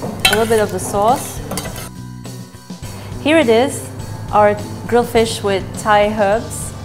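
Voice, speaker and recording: A young adult woman hosts a cooking show, calmly walking through the recipe step by step, recorded indoors in a kitchen studio on a lapel mic. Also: on the high side, takes it slowly. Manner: relaxed, step-by-step instructional. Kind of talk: cooking demonstration. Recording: studio cooking show with music under the voices. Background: music, clinking pans and cutlery.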